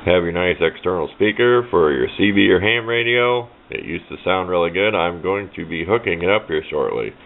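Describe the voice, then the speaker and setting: A man's voice: low-pitched, animated, normal speed, hardly any pauses. A man giving a casual, hands-on show-and-tell, rambling in a folksy way as he thinks aloud. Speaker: hobbyist presenting a radio project. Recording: muffled phone-like audio with clear background noise.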